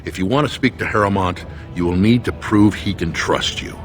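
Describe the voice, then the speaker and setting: A man's voice: sits low, normal speed, soft background music, close to an everyday voice. An actor playing an earnest, guarded dwarf nobleman's loyal second, speaking formally and with measure in a fantasy game. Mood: courteous, guarded. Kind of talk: dramatic fantasy game acting.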